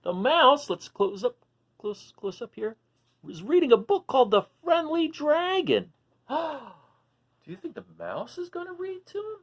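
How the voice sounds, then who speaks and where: A man hosting a children's read-aloud, expressive as he reads and chats, explaining things simply to young listeners. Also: extremely high pitch, unhurried. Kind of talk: animated storybook reading and casual chat to children.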